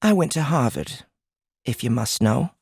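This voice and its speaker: Cryptic fortune teller, slow and gravelly, sardonic, portentous, audiobook drama. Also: female voice, extremely low pitch, sing-song, darkish tone, no room sound, studio quality, full character voice. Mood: dry, sardonic.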